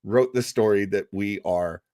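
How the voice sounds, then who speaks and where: A man's voice: on the low side, unhurried, mellow, no room sound, studio quality. A man hosting a show who chats casually and with enthusiasm as he introduces a radio drama, with many fillers and asides.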